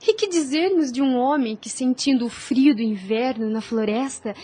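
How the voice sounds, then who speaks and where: A woman's voice: high voice, normal speed, mellow. An actress playing a devout young woman in a solemn audio drama, tender, self-denying and resolute, speaking in lofty, formal phrases. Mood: sorrowful, resolute. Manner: lofty, formal, earnest delivery. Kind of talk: solemn audio drama acting of a religious novel.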